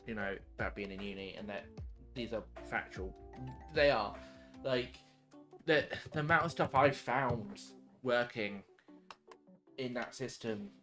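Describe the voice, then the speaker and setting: A man's voice: mid-range voice, fairly bright tone. Loosely phrased man chatting. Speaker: livestream co-host. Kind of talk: casual chat.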